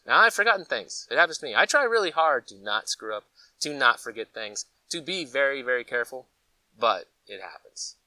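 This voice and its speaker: Animated American man with a bright, forceful voice. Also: mid-range voice, brisk, dry recording, far from the mic, rough recording. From Colorado, United States. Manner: animated, folksy, conversational storytelling with acted-out asides. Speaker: host of a preparedness gear channel. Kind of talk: casual rambling vlog chat.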